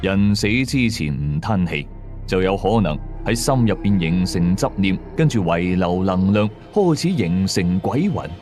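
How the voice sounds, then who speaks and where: Male actor as seasoned exorcist narrator, Hong Kong Cantonese, low and animated, moralizing, conversational asides, scripted radio horror over music. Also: unhurried. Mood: explanatory. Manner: explanatory, conversational asides to listeners. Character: male veteran ghost exorcist narrating his cases, matter-of-fact, moralizing. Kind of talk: scripted first-person horror storytelling.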